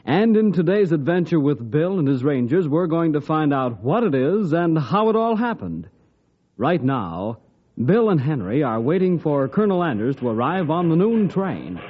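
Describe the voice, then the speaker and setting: A man's voice: a little high, big pitch swings, moderate pace, dull audio. A man narrating an old time radio drama for children in a folksy, theatrical storytelling manner.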